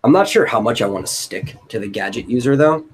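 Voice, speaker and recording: A man's voice: medium pitch, animated, fast talker, breathy edge. A man hosting a casual brainstorming chat, thinking aloud in a loose way. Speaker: host of a brainstorming stream. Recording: noticeable background noise.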